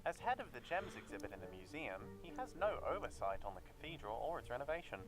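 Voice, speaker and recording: A prim, guarded secretary, played by a man in a video game, with a British accent and a lively, swooping pitch. He is formal and politely evasive, choosing clipped words, and music plays clearly behind him. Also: fast, natural voice. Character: prim, guarded male secretary to a cathedral dean.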